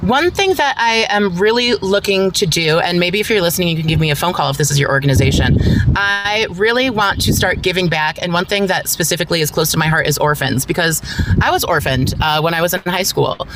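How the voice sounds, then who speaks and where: A man's voice: sits high, a little fast. Earnest male guest, noisy background. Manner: earnest, heartfelt.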